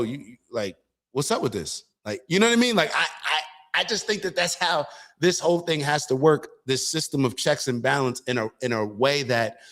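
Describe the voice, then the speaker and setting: A man hosts an online commentary show and talks through his views in a casual, earnest way. He calls his viewers y'all and often stops to restart a sentence. His voice sits at an ordinary middle pitch. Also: a bit bright. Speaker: show host.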